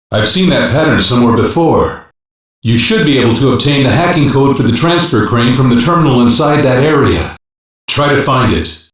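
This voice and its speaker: A man's voice: mid-range voice, close to an everyday voice. Man voicing a matter of fact police hacker guiding a team in a sci-fi game, very echoey, bit far away. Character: practical male cyber-police mission support hacker. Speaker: mission support operative. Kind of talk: English animated sci-fi game voice acting. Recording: very echoey, distant-sounding game audio.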